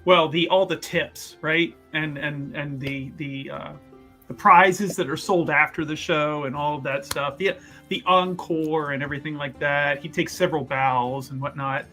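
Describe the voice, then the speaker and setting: A man describing his character in a tabletop roleplaying game, his voice bright and slightly raised in pitch. He rambles and restarts often, stacking fillers as he thinks aloud, with faint music playing underneath. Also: steady tone, a little slow. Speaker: player. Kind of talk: actual-play tabletop roleplay.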